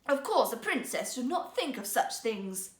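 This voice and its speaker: A woman's voice: fairly high pitch, big pitch swings, moderate pace, echoey room. A woman voicing a spoilt, haughty young princess in an emphatic, imperious storybook manner. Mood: haughty, indignant.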